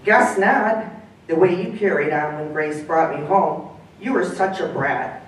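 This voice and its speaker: A woman's voice: on the low side, unhurried, close to an everyday voice. A woman voicing an aging, devoted male dog who needles his owner, recorded far off in an echoey room. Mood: reproachful. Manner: indignant, needling.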